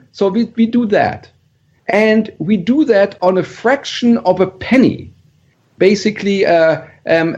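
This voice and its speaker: A man with a German accent, talking a bit high in his range as he explains his company step by step with plenty of ums and restarts. The sound is dull and lo-fi, like a remote call line. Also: a little mellow. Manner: earnest, explanatory, with fillers and restarts. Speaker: startup founder guest. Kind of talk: podcast interview, explaining technology. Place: San Mateo, California.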